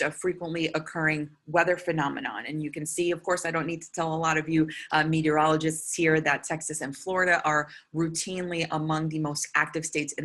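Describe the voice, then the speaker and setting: A woman meteorologist giving a prepared conference presentation, earnest and steady, with frequent filler sounds. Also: low voice.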